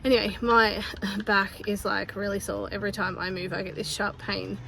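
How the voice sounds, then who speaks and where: A woman, chatting casually to her phone camera in a family vlog, her voice a little croaky. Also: mid-range voice, steady tone, normal speed, a bit bright, poor audio. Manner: chatty, laughs between sentences. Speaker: family vlogger. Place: outdoors by a busy road. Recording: clear traffic noise outdoors.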